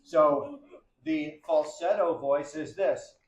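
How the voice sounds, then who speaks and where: A man with a low bass voice explaining singing registers to a class, ending his points with "right?" and demonstrating as he goes. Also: takes it slowly. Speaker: singer demonstrating technique. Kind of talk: explaining with sung examples. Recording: roomy, dull sound with faint background noise.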